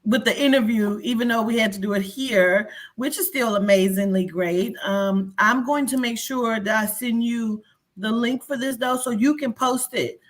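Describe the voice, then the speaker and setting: A woman's voice: fairly high pitch, normal speed. Earnest, chatty woman interview guest. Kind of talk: casual interview chat.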